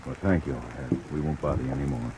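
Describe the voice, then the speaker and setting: A steady American police lieutenant speaks in a very low, plain-spoken, commanding way. The old radio crime drama is heavily covered by rain noise. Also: male voice, fast talker, creaky. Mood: businesslike. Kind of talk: mid-century American radio drama acting.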